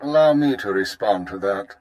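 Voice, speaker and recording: An actor playing an authoritative intelligence chief in a video game, speaking in a formal, measured, commanding way. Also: male voice, fairly low pitch, animated, a little mellow, natural voice. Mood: composed, commanding. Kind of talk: dramatic video game acting.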